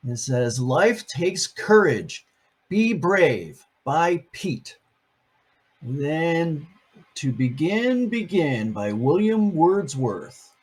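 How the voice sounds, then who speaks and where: A man's voice: a little high, sing-song, drawn-out words, slightly rough. An older man, a grandfather, reading a picture book aloud on video to his grandkids, playful and chatty, asking them questions as he goes.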